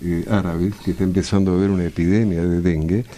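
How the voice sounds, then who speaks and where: A male radio host with a Rioplatense Argentine accent. His voice is very low and dark, with a soft, relaxed tone, and he interviews in a conversational way. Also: quick pace.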